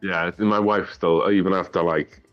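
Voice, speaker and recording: A man's voice: low-pitched, moderate pace, dry recording, highs cut off, faint music behind. A Welsh man in his thirties with a Welsh accent, chatting casually about whiskey, a bit mumbly. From Wales. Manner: relaxed, chatty, slightly mumbly. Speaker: guest whiskey taster. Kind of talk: casual chat.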